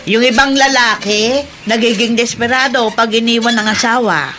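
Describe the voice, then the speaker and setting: Woman as admiring passenger over clear noise. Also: a little high, normal speed, close to an everyday voice. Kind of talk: radio drama acting.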